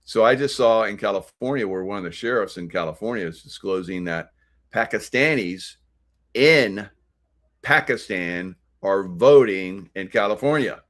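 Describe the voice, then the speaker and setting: A man with an American accent and a low voice talks through political commentary as a host. His delivery is halting and rambling, clearly unscripted. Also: expressive, a bit bright. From United States.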